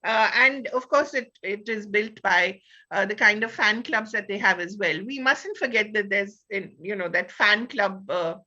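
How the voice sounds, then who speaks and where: A woman with an Indian accent discusses ideas as a panel guest. Her voice is sharp and bright, and she leans on key words while searching for phrasing. The audio is slightly dull and lo-fi. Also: medium pitch, a little slow. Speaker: panelist and author.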